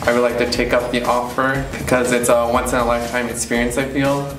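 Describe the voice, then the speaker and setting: A young man student speaking in a flat, even tone, measured and with a few fillers. He sits far from the mic in an echoey room. Music plays behind him. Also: medium pitch.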